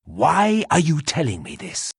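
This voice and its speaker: RPG revenant lead: sardonic, stern, formal, raspy voice, very dry close sound. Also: male voice, a little high, huge pitch swings, a little slow, no echo, heavily acted. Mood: stern, terse. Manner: stiff, formal speech without contractions. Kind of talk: fantasy game character acting.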